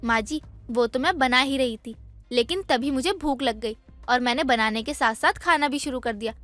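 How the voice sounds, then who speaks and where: A woman's voice: sits high, moderate pace, fairly bright tone, no room sound, soft background music. A woman playing a young daughter-in-law in a dramatized moral story, dutiful yet defensive, with an earnest, explanatory way of talking. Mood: defensive, indignant.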